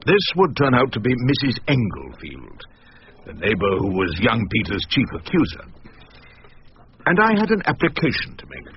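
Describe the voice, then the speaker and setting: An actor playing a wry, defiant ageing London barrister in a radio drama, theatrical and rhetorical, with an English accent. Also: male voice, medium pitch, huge pitch swings, moderate pace, lo-fi sound, slight background noise. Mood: wry narrating. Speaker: narrator and lead character. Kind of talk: radio drama acting and first-person narration.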